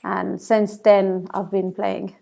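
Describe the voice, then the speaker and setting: A young Iranian woman speaks English with a clear Iranian accent, her voice carrying a gravelly, fry-tinged edge. She talks in a measured way, often pausing on soft ums as she answers a podcast host over a remote call. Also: medium pitch, monotone. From Iran. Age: young adult. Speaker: podcast guest. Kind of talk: casual interview answers. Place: home in South Africa, remote call.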